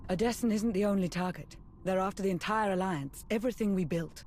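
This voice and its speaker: A woman's voice: medium pitch, fairly quick, a little mellow, slight background noise, lightly acted. A woman playing a dutiful military adviser in a sci-fi video game, earnest and businesslike. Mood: urgent, worried. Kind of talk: scripted video game acting.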